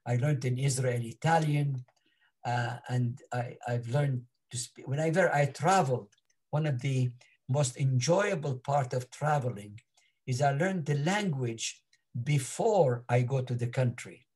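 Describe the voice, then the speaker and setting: A man in his eighties with an Iraqi Arabic accent, whose first language is Arabic, earnestly telling his life story on a video call with halting restarts. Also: medium pitch, darkish tone, husky. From Iraq. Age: 80s. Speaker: interview guest. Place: home, in front of a virtual backyard background.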